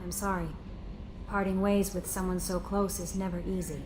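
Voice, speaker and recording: An actress playing an attentive, sympathetic young ship's aide in a video game, polite and gentle. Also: female voice, on the low side, flat delivery, moderate pace, natural voice. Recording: noisy game ambience.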